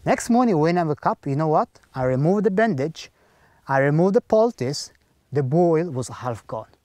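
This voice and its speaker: Guy telling his faith story in simple second-language english, kinda high voice, earnest, acts out the dialogue, dry close sound. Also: expressive, moderate pace, darkish tone, no room sound. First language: not English. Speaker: speaker giving a personal testimony. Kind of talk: personal faith testimony storytelling.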